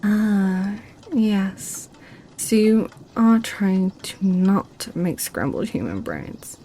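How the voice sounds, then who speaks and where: Succubus, female performer: playful, possessive temptress, whispery and unhurried, dark-toned ASMR roleplay. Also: mid-range voice, lively intonation, a little hiss or hum. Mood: curious, coaxing. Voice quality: breathy, whispery. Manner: teasing, coaxing, slow.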